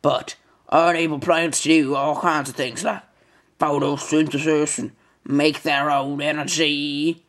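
A man's voice: fairly high pitch, little pitch movement, measured pace, fairly bright tone. A man voicing a grumpy, boastful old chloroplast character with a Southern US accent, in a comic educational sketch. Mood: proud.

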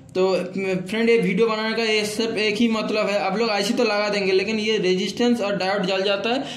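A man teaching electronics repair at a workbench, walking viewers through a circuit step by step with frequent pauses and fillers. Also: high-pitched, a bit bright, a little hiss or hum. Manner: halting explanation with many fillers, often addressing viewers as friend. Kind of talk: hands-on repair tutorial explaining a circuit. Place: workbench with circuit board and drawings. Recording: echoey room.

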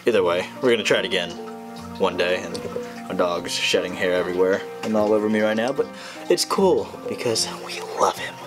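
A young man in his twenties chatting casually in a fishing vlog, excitable and prone to blurting out exclamations. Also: sits low, fairly quick. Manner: excitable, blurts out exclamations, says "freaking" a lot. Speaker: fishing vlogger. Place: pond bank outdoors, then home gaming room indoors. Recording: background music playing.

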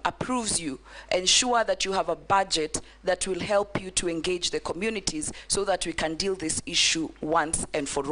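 Casting: Kenyan female legislator, slightly low voice, earnest and insistent in formal committee. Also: fairly bright tone. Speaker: member of parliament. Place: large wood-panelled parliament chamber. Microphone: desk gooseneck microphone.

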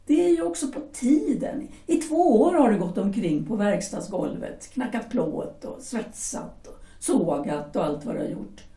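A woman's voice: medium pitch, animated, few pauses, mellow, lightly acted. An actress playing a persuasive young wife who insistently urges her husband on, in an audio drama. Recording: roomy echo, distant from mic.

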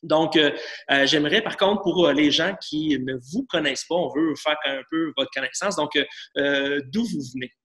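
A man's voice: fairly high pitch, moderate pace, a bit bright. A middle-aged man, asking questions hesitantly with many fillers as the host of a video-call interview. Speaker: church pastor interviewing. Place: living room. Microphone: laptop camera on a video call.

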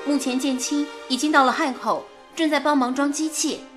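Actress doing a teasing sharp-tongued woman in a radio play, high voice, music underneath. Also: steady tone, normal speed, close to an everyday voice. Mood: concerned. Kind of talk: radio drama acting.

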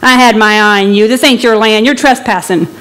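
A woman's voice: fairly high pitch, fairly quick, fairly bright tone, clean tone, natural voice, poor audio. A woman voicing a hostile, swaggering deputy sheriff in a literary reading, blunt and contemptuous, with folksy rural wording. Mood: bullying. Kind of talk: storybook villain in a literary reading.

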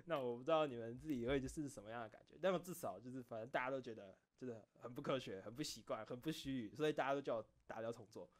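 A man talks casually, like a streamer alone with his audience. His pitch moves up and down a lot, giving the talk an animated feel. He rambles along in a self-deprecating manner at a middling pitch. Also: fast talker, hardly any pauses. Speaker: streamer and video creator. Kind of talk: casual solo chat, recounting behind-the-scenes stories.

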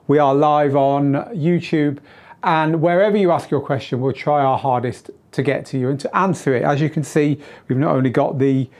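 A middle-aged man with a tired, slightly hoarse voice, hosting a live-streamed DJ Q&A from a small studio on a lapel mic, chatty, with lots of fillers and restarts. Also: a little high, steady tone, normal speed, darkish tone. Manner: moves around gesturing at equipment while talking. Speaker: DJ tutor and live Q&A host. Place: small home studio with shelves of gear.

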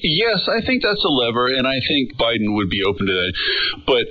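A man's voice: medium pitch, sing-song, brisk, slight background noise. A man giving casual, wry political analysis as a journalist being interviewed. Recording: muffled shortwave radio capture.

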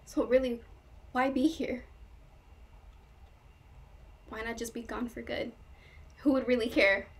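A woman's voice: a little high, fairly even intonation, takes it slowly, long pauses. A woman performing a stage monologue as a troubled young woman, intense, wounded and pleading with her lover. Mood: despairing, bitter.

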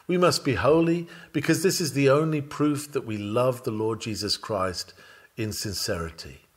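A man reading a written sermon aloud in a measured, solemn, declamatory manner. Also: medium pitch.

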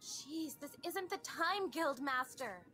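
A woman's voice: sits high, expressive, slow, sharp tone, faint music behind, exaggerated voice. A woman voicing an exasperated, urgent fox-like guild aide in a comic dub.

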